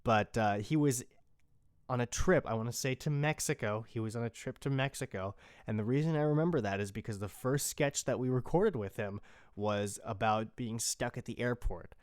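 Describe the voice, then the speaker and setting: Male podcast host, medium pitch, crisp clear tone, dry studio-tight sound, loose and informal unscripted delivery. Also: moderate pace, a little mellow, no echo. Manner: informal, rambling, mildly profane. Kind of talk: casual unscripted podcast talk.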